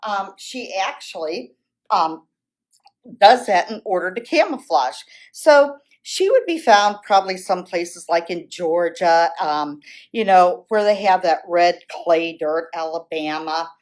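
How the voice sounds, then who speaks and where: A woman's voice: on the low side, expressive, measured pace. A woman with an American accent works as a zoo educator, explaining animals to children in simple, conversational terms in an informal educational talk.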